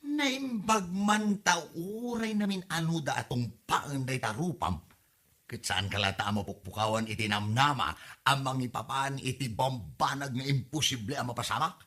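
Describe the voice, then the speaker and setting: A woman acting a gossipy, teasing friend in a comedy drama, animated and exclamatory. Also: extremely low pitch, moderate pace.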